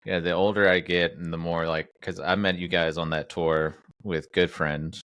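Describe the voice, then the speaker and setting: Very deep-voiced male podcast host. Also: little pitch movement, a bit bright, clean tone, no echo, clean studio sound. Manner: halting, many fillers and restarts. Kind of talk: casual interview chat.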